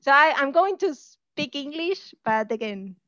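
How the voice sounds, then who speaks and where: A woman's voice: high-pitched, expressive, unhurried, a bit bright, dry recording, lo-fi sound. A woman from Argentina, a native Spanish speaker with an Argentine accent, gives an informal online math lecture, friendly and full of fillers and laughs. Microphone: video call.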